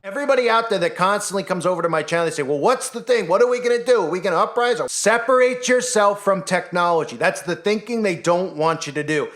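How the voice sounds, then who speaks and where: A man's voice: high-pitched, quick pace, hardly any pauses, a bit bright. A man hosting his own channel, giving an emphatic, opinionated monologue with rhetorical questions and repetition. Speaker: online channel host.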